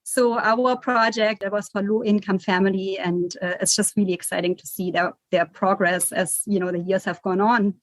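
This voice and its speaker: A woman's voice: medium pitch, fairly even intonation, normal speed, few pauses, dry recording, low-quality recording. German-accented woman, chatty and dry-sounding. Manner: chatty, with many fillers and restarts. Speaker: guest, architect and consultant. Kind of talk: casual interview answers.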